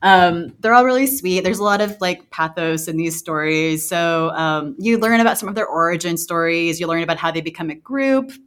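A woman's voice: lowish voice, normal speed, few pauses, a bit bright, smooth. A woman giving recommendations as a podcast co-host in an informal, enthusiastic way. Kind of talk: casual informal chat.

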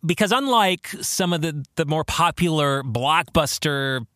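A man hosting a solo podcast in a reflective way, speaking earnestly with deliberate, emphatic phrasing. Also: fairly high pitch, lively intonation, fairly bright tone, no echo, clean studio sound. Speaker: podcast host and author.

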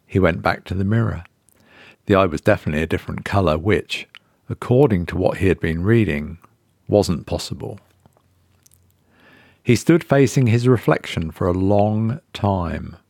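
A man reading a horror short story as narrator, in a measured, suspenseful dramatic style. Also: low-pitched, lively intonation, vocal fry, a little mellow, dry recording, clean studio sound.

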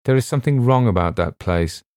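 Man reading as an earnest, insistent gentleman passenger. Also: mid-range voice, expressive, brisk, no room sound, clean studio sound, pitched above the performer's own voice, natural voice. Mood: shaken, earnest. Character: shaken but determined gentleman passenger, insistent and proud.

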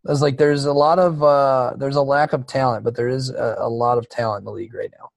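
A man's voice: medium pitch, fairly even intonation, quick pace, gravelly, dry recording. A man co-hosting a podcast, chatting casually and profanely about basketball, rambling with lots of fillers. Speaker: podcast co-host. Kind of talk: casual sports chat.

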